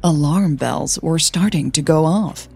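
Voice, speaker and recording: A woman narrating audio fiction as the host, in a measured, deliberate storytelling manner. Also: low-pitched, vocal fry, a little mellow, slightly rough, soft background music.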